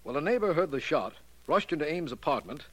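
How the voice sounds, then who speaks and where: An actor playing an earnest, matter-of-fact district attorney with clipped delivery, in a 1940s radio crime drama style. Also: male voice, fairly high pitch, sing-song, brisk, a bit bright, slightly rough, heavily acted. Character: earnest, official male district attorney, easily corrected.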